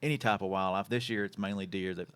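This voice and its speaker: A man's voice: sits low, fairly even intonation, quick pace, a bit bright, clear, resonant tone. A man hosting a casual radio and podcast chat in a folksy, plain-spoken, informal way. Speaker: show host.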